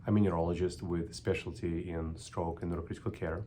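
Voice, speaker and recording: A middle-aged man with a Russian accent speaks in a very low voice. He explains things as a doctor, unscripted, pausing often with ums and restarting his sentences. A faint hiss sits behind the recording. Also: little pitch movement, mellow. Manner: thoughtful, many ums and restarts. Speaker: neurologist. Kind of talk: unscripted talk to camera.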